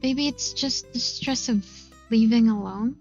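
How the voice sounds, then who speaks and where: Woman reading a visual novel out loud, bit high, kinda muffled, soft music under it. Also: unhurried. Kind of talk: expressive first-person story narration. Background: game music.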